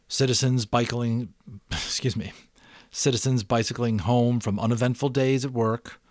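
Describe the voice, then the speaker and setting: A middle-aged man giving a dramatic reading of a novel, with deliberate emphasis and casual asides to his listeners. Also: medium pitch, no room sound, clean studio sound. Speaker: audiobook reader and channel host.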